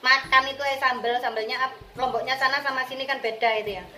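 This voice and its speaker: A woman's voice: high-pitched, fairly even intonation, fast, bright, forceful tone. A woman from Indonesia with an East Javanese accent, chatting casually and colloquially to her viewers in slangy, direct address. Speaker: vlogger, returned migrant worker. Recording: roomy echo with music behind.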